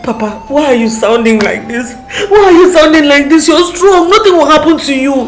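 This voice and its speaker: A woman's voice: a little high, normal speed, soft background music, close to an everyday voice. An actress playing a young woman who pleads and wails over her dying father. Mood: pleading. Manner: pleading, wailing. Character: young woman, grieving devoted daughter. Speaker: daughter.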